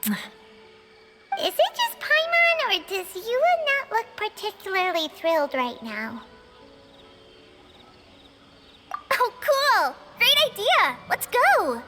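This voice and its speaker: A woman voicing an excitable, chirpy childlike girl in a video game cutscene. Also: very high-pitched, takes it slowly, heavily acted. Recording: prominent game music behind.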